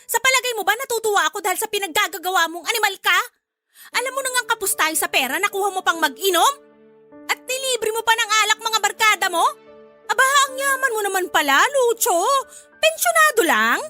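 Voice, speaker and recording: A woman's voice: extremely high pitch, expressive, fairly quick, bright, forceful tone, soft background music, full character voice. An actress with a Filipino accent playing a fed-up wife and mother, scolding in exasperation in a radio drama. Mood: furious, sarcastic.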